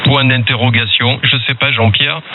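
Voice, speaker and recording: A man's voice: mid-range voice, steady tone, moderate pace. A man in his seventies chatting casually and ramblingly as an amateur radio operator, heard through a radio transmission. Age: 70s. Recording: dull amateur radio signal, faint hiss.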